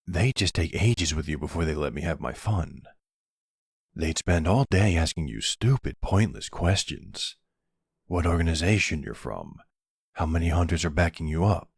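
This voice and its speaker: A man playing a flirty, cocky vampire with a gravelly, husky voice, teasing the listener in an intimate ASMR roleplay. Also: low voice, lively intonation, normal speed, dry recording, clean studio sound, exaggerated voice. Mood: mocking, flirtatious. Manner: teasing, sardonic drawl.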